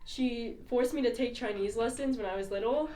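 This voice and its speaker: A woman's voice: fairly high pitch, normal speed, dark tone, a little hiss or hum. A teenage girl chatting casually about her mother and their traditions. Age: teens. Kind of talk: casual interview answer. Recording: distant, echoey room.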